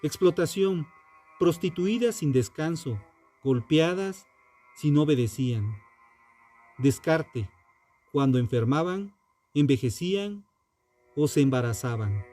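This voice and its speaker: Male narrator for true crime: mellow, dark-toned, unhurried and grave, sententious scripted delivery over music. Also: on the high side, lively intonation. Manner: solemn, deliberate, sententious. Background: background music.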